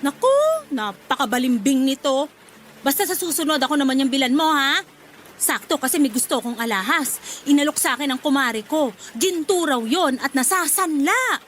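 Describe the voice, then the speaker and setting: Woman acting a high-voiced, demanding nagging mother. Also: moderate pace, a little hiss or hum. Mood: indignant, wheedling. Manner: nagging, expressive. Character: demanding, wheedling middle-aged mother of grown children. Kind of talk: radio drama acting.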